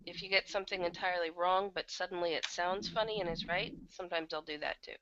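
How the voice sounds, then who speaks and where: A woman's voice: fairly low pitch, fairly even intonation, quick pace, fairly bright tone, dry recording. A woman chatting casually as an interview guest, candid and self-deprecating, over a computer microphone. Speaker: guest, audio drama producer and casting director. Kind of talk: casual interview chat about their work. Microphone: computer microphone on an online call. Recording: dull lo-fi internet call, uneven volume.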